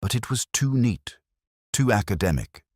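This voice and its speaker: A man playing a brooding, introspective police detective, narrating his case as a measured, solemn first-person story. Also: lowish voice, big pitch swings, a little mellow, dry recording, clean studio sound.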